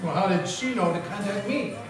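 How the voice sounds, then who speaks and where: A man narrating and playing a wisecracking hardboiled detective in a live 1940s radio play, deadpan. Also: high voice, brisk. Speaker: narrator and lead actor. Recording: echoey hall from far off with music.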